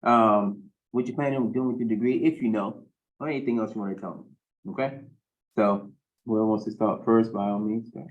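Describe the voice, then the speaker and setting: A man with a fairly low voice runs a university class. His speech comes through thin, tinny video-call audio. He keeps things brisk and casual with short prompts. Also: steady tone. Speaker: university instructor.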